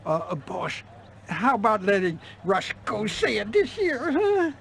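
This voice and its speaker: An actor playing a bumbling, excitable, fussy sheriff with an American Southern accent and a stammering, repetitive way of talking. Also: male voice, high-pitched, measured pace, vocal fry, husky, exaggerated voice. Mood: fussy, petulant. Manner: stammering, repeats himself.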